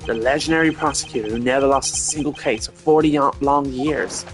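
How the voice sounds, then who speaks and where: A man's voice: mid-range voice, brisk, close to an everyday voice. A man puts on a smug rival prosecutor from a video game. He is flippant and casual, taunting in a mid-range voice over faint music. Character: cocky, flippant young male rival prosecutor, evasive suspect.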